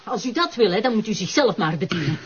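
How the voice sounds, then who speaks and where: A woman's voice: mid-range voice, big pitch swings, quick pace, breathy edge. An actress playing a protective, scolding housekeeper who fusses over her master in a drama. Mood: worried, indignant. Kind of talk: drama acting. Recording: dull, noisy lo-fi recording with clicks.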